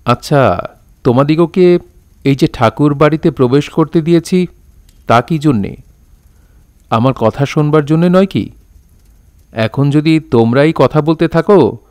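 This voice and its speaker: An actor playing a dignified literary storyteller in an audio drama, speaking formally and with measured care. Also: male voice, a little high, a little mellow, clean tone, dry recording, studio quality, lightly acted. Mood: mildly scolding. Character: dignified storytelling landlord-poet, gently scolding his village listeners. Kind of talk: Bengali audio drama narration, literary diction.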